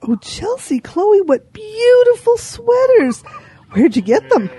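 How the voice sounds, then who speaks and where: A very high but soft-toned hen acted by a woman, gushing and inquisitive. She chats with lively swoops in a comic radio-play style. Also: slow pace, full character voice, rough recording. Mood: admiring. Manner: gushing, chatty. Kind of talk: comic audio drama acting.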